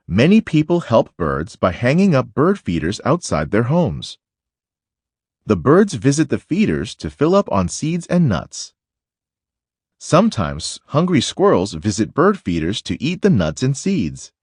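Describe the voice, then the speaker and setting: Slow, very animated man reading aloud. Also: medium pitch, slightly rough, no room sound, clean studio sound. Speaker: reader of a children's picture book. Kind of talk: read-aloud of a children's nonfiction book.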